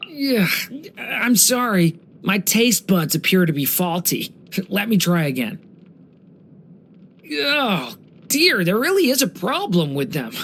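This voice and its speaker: An actor voicing an earnest, curious young boy dragon in human form for a video game, speaking politely and softly with some hesitance. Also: medium pitch, sing-song, slow, a little hiss or hum, full character voice. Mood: polite, sheepish. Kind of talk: scripted game character voice lines.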